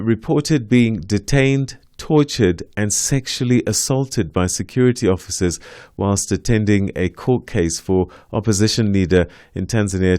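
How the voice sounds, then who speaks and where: A man hosting a news talk program, reading news and putting questions to guests with frequent pauses. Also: lowish voice, darkish tone, dry recording, clean studio sound. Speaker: radio show host. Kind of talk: news talk show presenting and interviewing.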